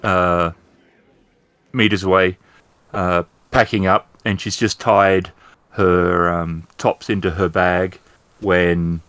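A man narrating an improvised tabletop roleplaying game as storyteller, describing scenes in a halting way. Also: low-pitched, fairly bright tone, clear, resonant tone, dry recording.

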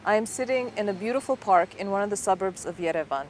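A middle-aged woman hosting a television interview in a park, asking measured questions. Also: mid-range voice, slight background noise. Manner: measured, warm-up questions with small pauses. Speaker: interview host. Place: outdoors in a city park.

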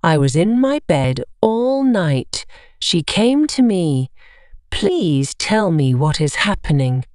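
A woman's voice: on the low side, very animated, takes it slowly, darkish tone, no room sound, studio quality. A woman voicing an anxious young wife in a story, earnest, questioning and pleading.

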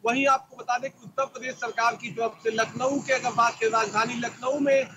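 A young man reporting live as a field news correspondent, in a halting, wordy delivery full of stock phrases. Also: extremely high pitch, level tone. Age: young adult. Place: outdoors by a road with trees. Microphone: handheld reporter microphone. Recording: faint outdoor background noise.

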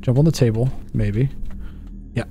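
A man's voice: mid-range voice, normal speed, dark tone, faint music behind. A man in his thirties giving casual let's play commentary, thinking aloud and asking himself questions as he goes. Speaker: gaming YouTuber. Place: indoor home setup.

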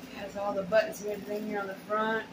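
Middle-aged woman for a casual home haul vlog; folksy, rambling delivery, medium pitch, echoey noisy room. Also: level tone, quick pace. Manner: folksy, rambling, muttering asides. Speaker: haul vlogger. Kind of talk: casual unboxing chat. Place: small bare room at home. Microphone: camera or phone a short distance away. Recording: roomy echo, clear background noise.

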